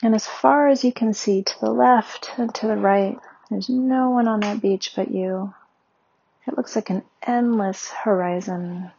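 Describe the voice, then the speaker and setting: A woman leading a guided meditation with slow, soothing, gentle instructions. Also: medium pitch, steady tone, creaky, husky, lo-fi sound.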